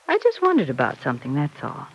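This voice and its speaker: An actress playing a patient, gently probing middle-aged mother in an old-time radio serial, speaking in a measured, coaxing manner. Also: deep voice, huge pitch swings, breathy edge, full character voice, rough recording. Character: gentle, probing mother advising her grown daughter.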